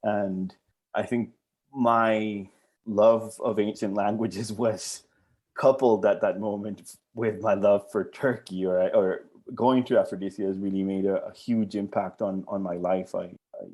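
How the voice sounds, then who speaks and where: Low-voiced hesitant man from Colombia. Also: steady tone, measured pace, darkish tone. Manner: hesitant, many restarts and repeated words. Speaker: archaeology professor, guest. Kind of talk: interview answers.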